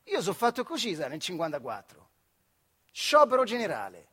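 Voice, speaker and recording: Nostalgic factory worker, solo stage storyteller, high voice, central Italian Marche accent, wry and colloquial. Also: male voice, huge pitch swings, moderate pace, fairly bright tone, breathy edge, full character voice. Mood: animated storytelling. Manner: colloquial, acting out dialogue. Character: working-class factory man telling nostalgic, wry stories of his comrade. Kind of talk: theatrical monologue storytelling in dialect.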